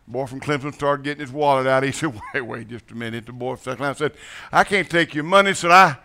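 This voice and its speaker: Folksy Mississippi preacher telling jokes. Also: male voice, medium pitch, pushed and bright. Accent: Mississippi Southern. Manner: halting, repeats words, chuckles often. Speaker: guest preacher. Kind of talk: folksy storytelling sermon with jokes. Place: church sanctuary with congregation.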